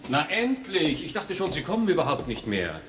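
A brusque store manager around thirty in an old radio play. His voice is on the high side and swings a lot, heard in a roomy, noisy recording. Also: huge pitch swings, normal speed, a bit bright. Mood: impatient. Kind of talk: German radio drama acting.

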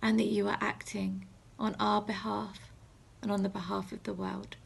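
A woman in her thirties with a husky, breathy voice, giving a gentle, reflective assembly talk as a reverend, measured and halting with pauses. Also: mid-range voice, level tone. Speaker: reverend leading a school assembly. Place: small room at home. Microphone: phone or webcam at arm's length.

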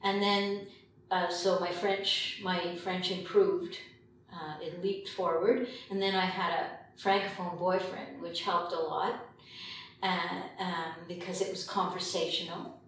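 An older woman from Quebec reflecting in an interview as a filmmaker, pausing often mid-sentence. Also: lowish voice, flat delivery. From Quebec, Canada. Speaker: documentary filmmaker being interviewed. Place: indoor meeting room at a long table. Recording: very echoey room, distant mic, faint noise.

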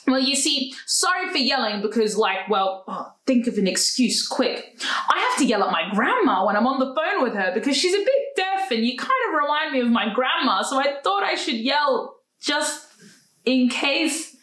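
A woman's voice: sits high, sing-song, moderate pace, fairly bright tone, voice pushed up. A woman voicing a flustered schoolgirl in an audiobook, stammering and rambling through awkward apologies. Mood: flustered, babbling. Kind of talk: children's audiobook character dialogue. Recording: roomy indoor echo.

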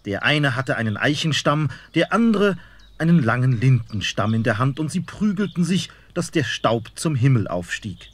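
A man narrates a fairy-tale audio drama with an expressive storyteller's delivery. His voice is husky and breathy at an ordinary pitch. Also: moderate pace.

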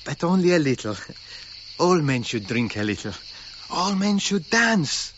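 A man's voice: fairly high pitch, big pitch swings, measured pace, a bit bright. An actor playing a deferential yet passionate Cretan foreman with a Greek accent in a radio drama. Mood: tipsy, exuberant. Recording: dull lo-fi radio recording, faint noise.

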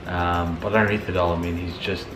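A man's voice: low-pitched, little pitch movement, a little fast. A man in his thirties with a Canadian accent, speaking casually as a startup founder in a documentary interview. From Thorold, Ontario, Canada. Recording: echoey room with music underneath. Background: music.

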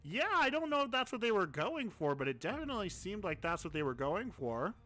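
A man's voice: sits high, sing-song, fairly quick, sharp tone. A young man on a headset, chatting casually and rambling as he streams a mobile game, laughing at himself. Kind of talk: casual live-stream chat. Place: home streaming room.

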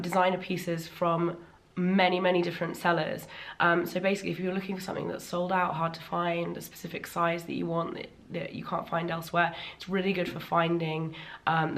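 A woman in her thirties chatting casually in a vlog from a bright living room, her voice still slightly worn after laryngitis, enthusiastic and full of ums. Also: on the low side. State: recovering from laryngitis, voice a little worn. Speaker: fashion vlogger. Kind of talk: casual sponsored haul vlog.

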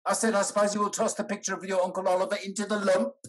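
An older actor playing a polite, hesitant gentleman moneylender in a period comedy, speaking in a halting, courteous way over a video call. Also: high voice, flat delivery, natural voice. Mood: eager, pleading. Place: dim room indoors, video call.

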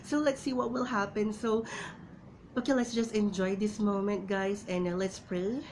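A woman's voice: medium pitch, fairly even intonation, normal speed, darkish tone, a little hiss or hum. A young woman with a Filipino accent, chatting casually to her viewers in a vlog, keeps calling them "guys". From Philippines. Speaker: vlogger. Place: small indoor room at home.

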